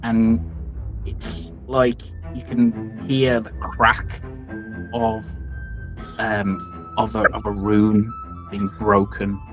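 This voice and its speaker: A man improvising tabletop game narration, telling it in a halting, suspenseful way. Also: lowish voice, level tone, fairly bright tone, poor audio. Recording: music playing behind the voice.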